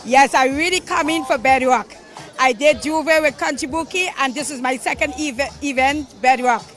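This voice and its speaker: A woman's voice: high-pitched, moderate pace, a bit bright, faint music behind, some chatter behind. A woman from Florida, a guest at a party, gushing with enthusiasm about the event.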